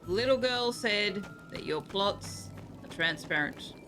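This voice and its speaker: A woman's voice: mid-range voice, lively intonation, slow. An Australian woman streaming a game, reading item text aloud and chatting in a dry, sarcastic way. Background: game music.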